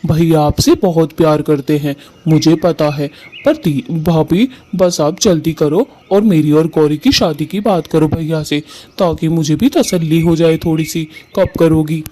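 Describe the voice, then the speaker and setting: Man voicing male cartoon bird, highish mellow voice, earnest, devoted, affectionate, family cartoon. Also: a little slow, natural voice. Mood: pleading. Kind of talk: Hindi family cartoon story acting.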